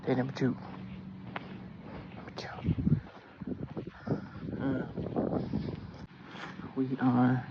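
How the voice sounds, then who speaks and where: A man of forty talking casually in a halting, self-deprecating way, thinking aloud as he rambles through a vlog. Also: medium pitch. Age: 40s. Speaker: vlogger. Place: outdoors, walking along a neighborhood street. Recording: dull phone audio, noisy street ambience with traffic.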